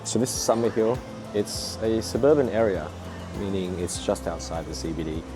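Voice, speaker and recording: A young man with an Australian accent talks easily to camera, his voice sitting on the low side. He fills his sentences with relaxed ums and uhs. Music plays clearly behind him. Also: normal speed. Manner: relaxed, laid-back with frequent fillers. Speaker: vlog host. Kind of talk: casual travel vlog chat. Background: background music.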